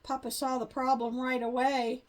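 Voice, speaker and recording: A woman's voice: high voice, normal speed. Older woman reading a storybook aloud. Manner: gentle expressive read-aloud. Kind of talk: reading a picture book aloud.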